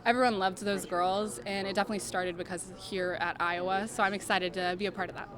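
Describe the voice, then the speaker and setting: A young woman speaking to reporters at a middling pitch, cheerful and chatty, leaning on "like" and "I mean". Clear crowd chatter runs behind her. She sounds at ease. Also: fairly even intonation, quick pace. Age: young adult. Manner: upbeat, chatty, fillers like "I mean" and "like". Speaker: college basketball player. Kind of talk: media interview answers.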